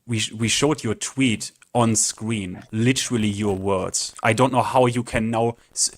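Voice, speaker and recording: A man's voice: lowish voice, fairly even intonation, measured pace. A man arguing in an online debate, challenging and interjecting in a conversational way. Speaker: debate participant.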